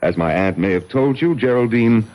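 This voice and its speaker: Very deep, wry actor as well-to-do nephew. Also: male voice, lively intonation, normal speed, a little mellow, natural voice. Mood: guarded, wry. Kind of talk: 1970s radio drama acting. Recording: noisy 1970s radio broadcast.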